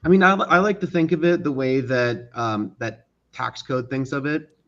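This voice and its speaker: A man's voice: medium pitch, normal speed, a bit bright. Male guest, slightly dull lo-fi audio. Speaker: interview guest, game developer. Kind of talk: casual chat and storytelling.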